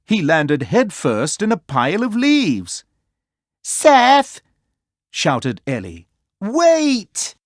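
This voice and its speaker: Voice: mid-range voice, huge pitch swings, slow, hardly any pauses, no room sound, studio quality. A storyteller reading a children's story aloud as scripted narration.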